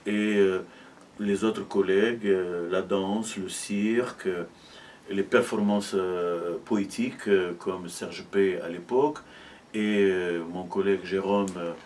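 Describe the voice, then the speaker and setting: Moroccan-born man explaining at measured pace. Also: low-pitched, fairly even intonation, darkish tone, recorded from a distance, slight background noise. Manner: measured, explanatory, many hesitations. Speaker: university arts and culture officer. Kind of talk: interview answers.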